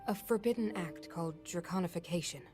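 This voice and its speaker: A woman playing a calm, wise, inquisitive scholar who explains things in a measured, precise way in a video game cutscene. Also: fairly low pitch, close to an everyday voice. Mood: grave, explanatory. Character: scholarly royal older sister, calm, wise, inquisitive sage. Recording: orchestral music behind the voice.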